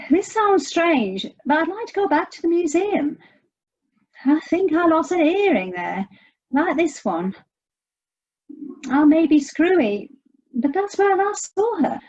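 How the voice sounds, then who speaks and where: A woman's voice: high-pitched, darkish tone, muffled recording, exaggerated voice. An actress in an audio play playing an anxious, sardonic American woman searching for her estranged sister. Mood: wry, unsettled.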